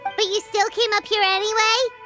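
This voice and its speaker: A woman voicing a tiny childlike fairy companion in a video game, squeaky, eager and cheeky. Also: flat delivery, normal speed, over music. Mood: curious, brisk. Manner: bubbly, refers to herself in third person. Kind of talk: animated video game voice acting.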